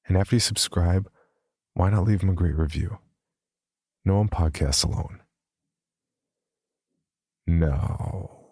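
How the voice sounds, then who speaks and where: A man's voice: sits low, slow, long pauses, a little mellow, breathy edge. A man hosting a podcast, reading out scripted announcements. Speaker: podcast host.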